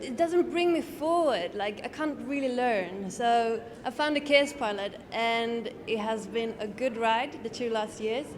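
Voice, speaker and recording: A woman in her twenties from Sweden introducing herself to an audience, casual and candid. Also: fairly high pitch. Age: 20s. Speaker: student presenter. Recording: reverberant hall.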